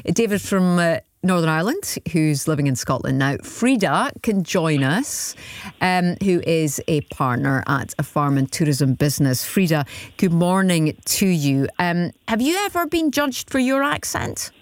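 A woman hosting a radio phone-in, brisk and friendly, thanking callers and moving on. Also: on the low side, lively intonation, no room sound. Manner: friendly, brisk, quick acknowledgments.

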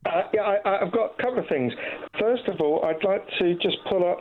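A man's voice: sits high, a little fast. Male caller on a tinny phone line. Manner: hesitant, lots of ums, firm opinions. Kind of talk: phone-in call to radio.

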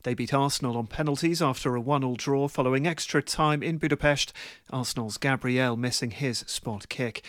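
A man reads the sports headlines on the radio in a crisp, measured delivery. The sound is close and dry, with no room around it. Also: medium pitch, a bit bright, no room sound. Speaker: sports newsreader. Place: radio studio.

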